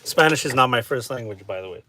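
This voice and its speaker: A man chatting casually with his live-stream audience, relaxed and talkative, often asking "you know what I mean?". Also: mid-range voice, quick pace, a little hiss or hum. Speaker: streamer answering chat.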